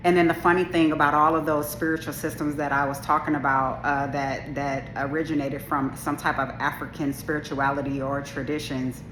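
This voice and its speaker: A woman with a low, deep voice talks to camera in a casual monologue. Her delivery is strong, powerful and assertive, though she rambles and restarts often. The sound is roomy and echoey, with a faint hum of ambience behind her. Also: level tone, fairly quick, hardly any pauses. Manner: rambling, with many restarts and asides. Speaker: video creator. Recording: echoey room.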